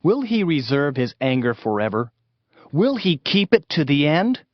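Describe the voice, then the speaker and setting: Solemn man dramatically reading scripture, widely varied pitch. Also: very animated, moderate pace, no echo. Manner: solemn, declamatory. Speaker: narrator. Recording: dull band-limited audio, music behind. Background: music.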